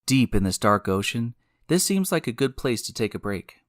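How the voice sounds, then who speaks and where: A man hosting and narrating a scripted science story in a deliberate, explanatory way, speaking directly to the listener. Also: medium pitch, sing-song, no echo, studio quality. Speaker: podcast host and narrator. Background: music.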